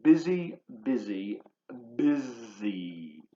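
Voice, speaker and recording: Male children's storyteller, playful and animated in intonation, addressing kids directly. Roomy, slightly dull home-video sound. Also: mid-range voice, extremely slow. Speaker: volunteer children's book reader. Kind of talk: read-aloud of a picture book for young children, with asides. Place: living room at home.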